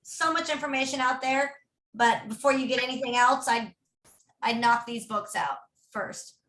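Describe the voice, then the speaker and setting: A woman co-hosts an online pilot meeting, her voice bright and a touch high. Her sound carries the echo of a roomy space. She keeps things moving with quick, upbeat remarks. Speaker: meeting co-host. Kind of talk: casual video call meeting. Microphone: video call.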